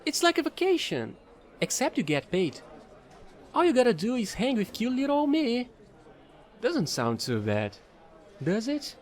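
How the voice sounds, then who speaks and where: Offbeat fallen angel space trader played by a man for audio roleplay: high, very lively pitch, eager, rambling, playful. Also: measured pace, pauses often, full character voice. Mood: coaxing. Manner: rambling, playful, pleading, casual slangy talk. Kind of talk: audio roleplay monologue addressed to the listener.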